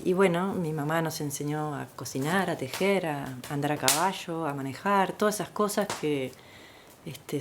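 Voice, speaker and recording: A Uruguayan woman in her sixties with a Uruguayan Spanish accent tells her own story in a relaxed, unhurried way. Her voice sits very low. Fillers such as "este" come up often, and a faint hiss runs under the recording. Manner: frequent "este" fillers, easygoing rural warmth. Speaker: interviewee.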